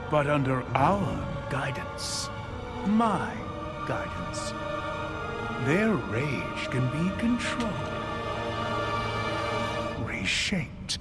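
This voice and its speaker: An actor playing a grandiose alien religious leader in a video game cutscene, with a pompous, theatrical delivery. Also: male voice, a little high, heavily acted. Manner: pompous, theatrical, measured monologuing. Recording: loud music behind the voice.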